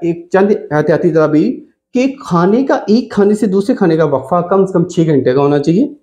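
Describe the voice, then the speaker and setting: A Pakistani-accented man speaks in a slightly high register, like a doctor advising followers directly. His delivery is informal and instructive, and he repeats important points for emphasis. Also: dark tone. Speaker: doctor giving diet advice. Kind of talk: informal instructional health talk to camera.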